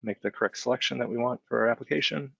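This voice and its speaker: A man giving an informal, step-by-step software demonstration as a webinar trainer, explaining conversationally and often checking in with short tag questions. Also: medium pitch, very fast, creaky, slightly rough, no echo. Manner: conversational, explanatory, frequent check-in tags like "right?". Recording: dull, band-limited close dry voice recording.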